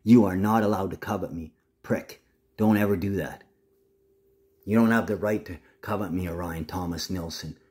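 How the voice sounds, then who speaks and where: Man, very deep voice, rambling, agitated, swearing, casual monologue to camera. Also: moderate pace, a little mellow.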